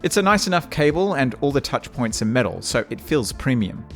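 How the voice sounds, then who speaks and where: A man with a nasal voice, giving a measured, wry scripted review of audio gear. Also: medium pitch, big pitch swings, a bit bright, faint music behind. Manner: measured, wry and matter-of-fact. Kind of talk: scripted product review narration.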